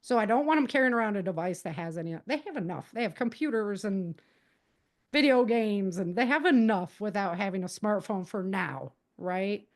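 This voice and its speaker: A woman's voice: medium pitch, lively intonation, moderate pace. A middle-aged woman speaking as an interview guest, giving conversational advice emphatically, repeating key points and acting out example dialogue. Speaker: podcast guest, author and self-defense advocate.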